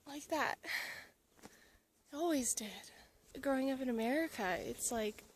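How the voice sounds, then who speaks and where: A young woman from Alaska talks to her handheld camera outdoors, earnest and emotional. Her voice sits a little high. She laughs easily and slips into soft, breathy asides. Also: unhurried, a little hiss or hum. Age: young adult. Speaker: travel vlogger. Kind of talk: casual vlog talk to camera. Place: outdoors in a mountain town.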